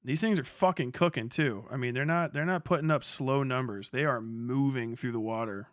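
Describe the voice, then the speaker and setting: A man's voice: medium pitch, normal speed, a bit bright, dry recording, clean studio sound. A man giving casual, off-the-cuff livestream commentary as a military veteran, slangy and full of swearing. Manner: profane, slangy.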